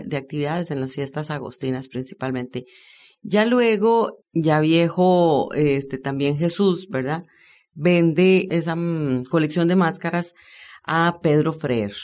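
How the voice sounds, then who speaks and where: A woman's voice: low voice, a little mellow. A woman with a Costa Rican accent, a historian being interviewed, explaining informally and didactically with frequent tag questions. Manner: didactic, often checks understanding with tag questions.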